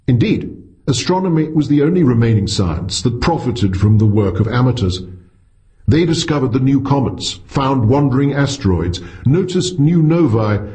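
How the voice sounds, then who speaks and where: A man's voice: on the low side, mellow. A man reading a scripted short story as an audiobook narrator.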